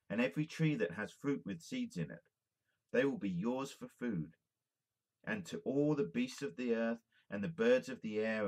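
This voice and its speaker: A man giving a solemn, measured reading of scripture with emphatic phrasing. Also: on the low side, rough recording. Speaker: amateur Bible reader.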